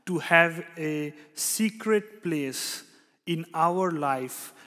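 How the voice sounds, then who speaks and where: A young man from India delivers a sermon at an unhurried, halting pace, filling his pauses with hesitations and restarting his phrases. His voice sits a little high for a man. It rings out with the long reverb of a church hall. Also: fairly bright tone. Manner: halting, many fillers and restarts. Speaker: preacher. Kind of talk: sermon with prayer.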